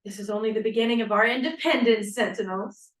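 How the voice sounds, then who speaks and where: A woman's voice: on the high side, quick pace, natural voice. A woman acting a brash, exuberant young hippie feminist in a stage play table read. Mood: rousing. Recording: echoey room, distant mic.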